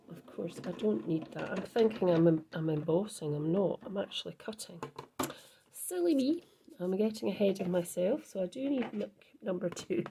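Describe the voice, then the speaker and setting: A woman hosting her card-making channel, chatting casually through a crafting tutorial with a croaky voice, rambling and correcting herself as she goes. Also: mid-range voice, background noise. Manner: rambling, self-correcting, many ums. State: croaky, husky voice.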